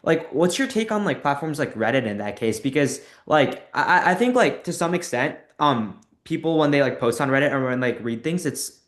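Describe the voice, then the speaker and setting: A man's voice: medium pitch, animated, normal speed, few pauses. Teenage male interviewer in an echoey room. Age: teens. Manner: hesitant, very frequent "like". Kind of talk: casual interview. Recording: echoey, roomy sound.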